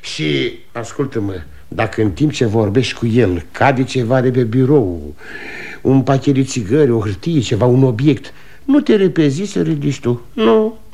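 A man's voice: mid-range voice, animated, gravelly, mellow. An actor playing a proud, domineering aging salesman father who lectures his sons, in a 1960s radio drama. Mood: lecturing. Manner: bossy, lecturing, quick to anger. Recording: old studio radio recording.

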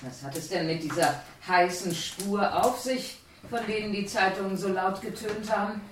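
A woman's voice: medium pitch, fairly even intonation, normal speed, a little hiss or hum, close to an everyday voice. An actress playing a strict, anxious landlady, roomy sound. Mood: curt. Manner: curt, commanding, agitated. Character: anxious, strict landlady, wife and mother in old London. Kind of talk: German radio play acting.

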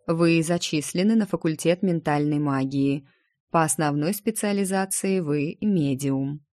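A woman's voice: low-pitched, unhurried, darkish tone, no room sound, studio quality. A woman reading a storybook, voicing a formal, businesslike male master of magic at an academy. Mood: brisk, pleased.

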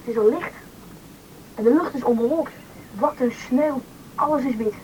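A woman's voice: sits high, normal speed, a little mellow, natural voice. A woman playing an eager, anxious child lost in the snow in a radio play. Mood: wondering. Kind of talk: Dutch radio play acting. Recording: noisy radio play recording.

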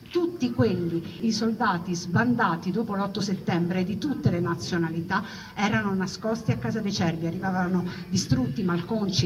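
A woman telling a story theatrically, as in a stage monologue, with dramatic, building repetition. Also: medium pitch. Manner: dramatic delivery with repeated, building phrases. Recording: noisy, distant, dull lo-fi recording.

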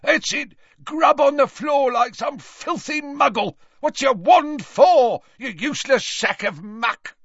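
A man voicing a spiteful, sneering wizard father in an audiobook, given to jeering and shouting. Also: high voice, wildly swooping pitch, unhurried, breathy edge, dry recording, lo-fi sound, pitched above the performer's own voice, heavily acted. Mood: furious bellowing.

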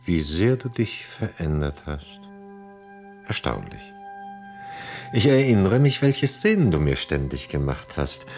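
A vain, mature husband in a radio drama. His low voice swoops widely between dry irony and grand declarations of love. There is a gravelly edge to his theatrical delivery. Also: wildly swooping pitch, measured pace, pauses often, darkish tone, soft background music, exaggerated voice. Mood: mocking. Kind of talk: radio play acting, theatrical.

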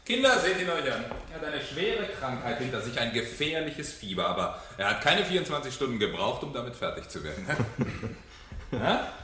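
An actor playing a mocking fire captain with a falsely hearty, theatrical, menacing manner in a 1970s German radio drama. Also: male voice, medium pitch, big pitch swings, moderate pace, bright, forceful tone. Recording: echoey room, vintage radio play.

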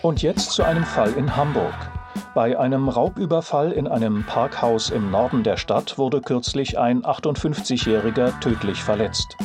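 An actor as a matter-of-fact news announcer. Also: male voice, mid-range voice, normal speed, music in the background, lightly acted. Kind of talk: formal news bulletin reading.

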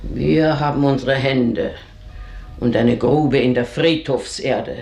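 An actress playing an earthy, bitter older housekeeper in a German radio drama, grumbling with theatrical scorn. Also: female voice, extremely low pitch, takes it slowly, gravelly, darkish tone, exaggerated voice. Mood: contemptuous. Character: bitter, earthy older female housekeeper, gossiping and cursing her mistress. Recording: roomy, echoing radio drama studio sound.

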